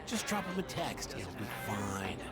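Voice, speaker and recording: Young slacker, casual, slangy, brash, very animated intonation, video game dialogue. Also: male voice, on the low side, measured pace. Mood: cajoling. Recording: loud music behind the voice.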